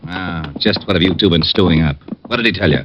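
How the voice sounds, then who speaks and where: An actor playing a grouchy, quick-tempered police lieutenant in an old radio drama. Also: male voice, sits low, a little hiss or hum, close to an everyday voice. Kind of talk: 1950s radio drama acting. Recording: dull old radio recording.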